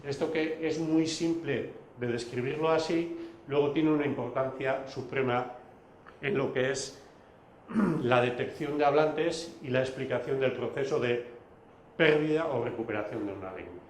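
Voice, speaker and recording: A man whose first language is Basque, giving a recorded video lecture, pausing often with fillers and restarts. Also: mid-range voice, reverberant, slight background noise. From Basque Country. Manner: hesitant, many fillers and restarts.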